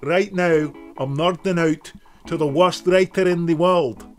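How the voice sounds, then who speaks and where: A man from Glasgow with a Scottish accent, calling in to a show and talking slowly in a jokey way. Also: a little high, steady tone, faint music behind. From Scotland. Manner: slow, jokey, deliberate. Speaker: caller.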